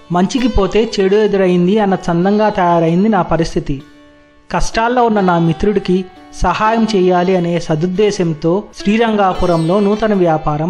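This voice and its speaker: A man playing a gentle, honest merchant in an animated moral story, speaking earnestly and formally. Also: sits high, lightly acted.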